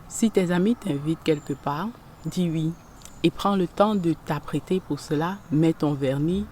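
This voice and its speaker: Slow, gentle woman reading tarot cards. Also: low voice, slight background noise. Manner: gentle, pauses often and searches for words. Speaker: tarot reader.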